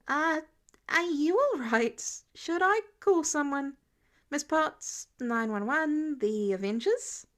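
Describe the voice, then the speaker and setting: A woman's voice: sits high, animated, slow pace, a bit bright, voice pushed up, exaggerated voice. A woman voicing an earnest, cheeky, slightly awkward teenage boy intern in an audiobook-style story reading. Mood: concerned.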